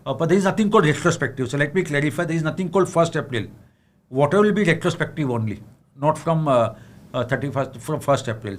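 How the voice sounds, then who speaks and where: Frank Indian analyst, fairly high voice. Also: male voice. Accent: Indian English. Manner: frank, with many fillers and repeated restarts; leans on tag questions and switches into Hindi. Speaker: market analyst answering viewer questions. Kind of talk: livestream Q&A on the budget.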